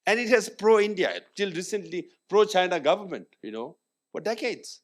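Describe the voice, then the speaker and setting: A man's voice: sits high, lively intonation, normal speed. A man speaking on a panel, arguing emphatically and dropping Hindi phrases into his English. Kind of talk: panel discussion. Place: conference hall.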